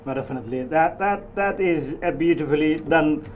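Halting middle-aged male studio host. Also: a little high, muffled recording. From Somalia. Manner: halting, many fillers and restarts. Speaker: TV talk show host. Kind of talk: studio discussion, moderating. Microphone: lapel mic.

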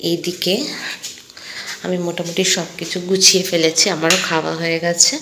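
A woman's voice: fairly low pitch, little pitch movement, normal speed, sharp tone, echoey room. A woman in her thirties with a West Bengal Bengali accent chats casually to viewers, conversational and mixing in English words. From India. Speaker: home vlogger and mother. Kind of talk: casual vlog chat to viewers. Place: small home rooms and kitchen, indoors. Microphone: phone at arm's length. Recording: clear background noise, handheld phone.

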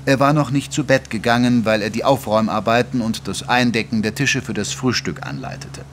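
An actor playing an earnest gentleman doctor who narrates a German audio drama in a measured, literary way. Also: mid-range voice, a little hiss or hum, natural voice. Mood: dry, composed. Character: earnest gentleman doctor narrating his detective friend's case.

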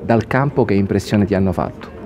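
A man's voice: fairly low pitch, fairly quick, darkish tone, faint music behind. A man asking questions as an interviewer in a post-match sports interview. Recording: clear background noise in press area.

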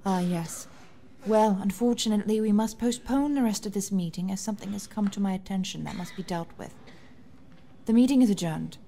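Woman playing a mellow-voiced military leader. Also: mid-range voice, fairly quick, a little hiss or hum, close to an everyday voice. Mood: composed, courteous. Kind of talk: science-fiction audio drama acting.

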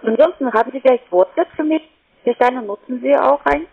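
Polite high-voiced woman on a phone line. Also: brisk, creaky. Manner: polite, businesslike. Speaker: call centre sales agent. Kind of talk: scripted-sounding phone sales talk, polite. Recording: thin phone-line sound.

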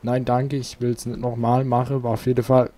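A man's voice: medium pitch, level tone, moderate pace, mellow, a little hiss or hum. A man hosting a casual let's play, chatting to his viewers. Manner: chatty, talks to viewers, asks for likes. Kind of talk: casual gameplay commentary.

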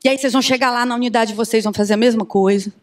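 A Brazilian woman in her thirties giving an animated conference talk on a handheld mic, often asking the audience questions. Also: on the high side, rapid-fire. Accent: Brazilian Portuguese. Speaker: conference speaker. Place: large conference room with audience. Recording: distant room sound from far camera.

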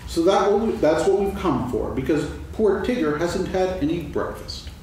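A gentle, earnest young bear, as a man reads him, somewhat high, with a lively, sing-song pitch. It is heard from a distance in a very echoey hall with faint noise. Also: moderate pace. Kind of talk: staged reading of a children's play. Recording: echoey stage, distant mic, background noise.

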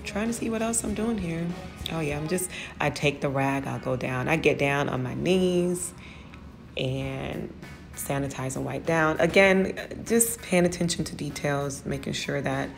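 Very low, slow-talking woman with gravelly fry. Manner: rambling, loses her train of thought. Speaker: salon owner giving a cleaning tutorial. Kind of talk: casual walkthrough tutorial.

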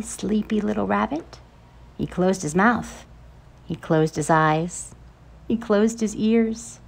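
A middle-aged woman reading a children's picture book expressively, with drawn-out, dramatic phrasing. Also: medium pitch, gravelly, darkish tone. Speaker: storyteller. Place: outdoors on a paved porch.

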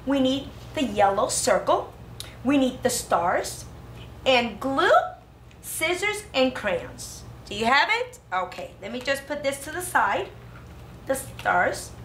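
A woman's voice: high-pitched, very animated, slow pace, fairly bright tone. A woman in her thirties teaching a craft lesson to young children, in a sing-song, exclamatory way. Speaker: preschool teacher. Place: indoor preschool classroom. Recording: roomy classroom echo, faint background noise.

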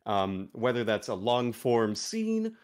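A man's voice: mid-range voice, big pitch swings, takes it slowly. A man hosting a convention panel, joking and reading out fan questions. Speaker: panel host.

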